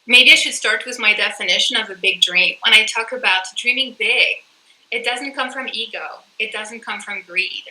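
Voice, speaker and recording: A woman's voice: a little high, normal speed, pushed and bright, reverberant, slight background noise, low-quality recording. A woman from France, a coach and author talking earnestly and emphatically in an interview. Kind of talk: conversational interview.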